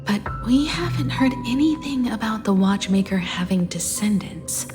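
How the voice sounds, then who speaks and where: A woman playing a polite, measured young female travelling companion in a video game. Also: medium pitch, animated, natural voice. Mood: thoughtful. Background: background music.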